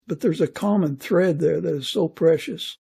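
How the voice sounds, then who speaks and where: Man, podcast interviewer, gravelly and husky, mellow tone, casual chat. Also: on the high side, moderate pace.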